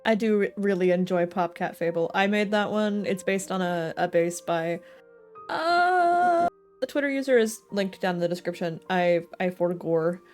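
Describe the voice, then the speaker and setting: A woman's voice: on the low side, expressive, normal speed, darkish tone. A woman livestreaming a video game, chatting casually to her viewers with playful, jokey asides. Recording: game music under the voice. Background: video game music.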